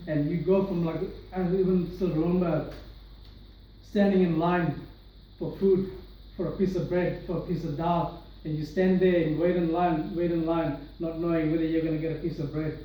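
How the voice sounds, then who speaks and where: A man's voice: on the high side, steady tone, moderate pace, dull audio, slight background noise. A young man from Sri Lanka giving an earnest personal testimony to an audience in a large room. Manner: earnest, many restarts and fillers. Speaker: speaker sharing his testimony. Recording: echoey hall, voice from far off.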